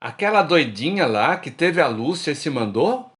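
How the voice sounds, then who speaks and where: A man plays a sardonic old pal in a Brazilian radio drama. His voice sits fairly high, and he talks in a teasing way. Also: sing-song, normal speed, a bit bright.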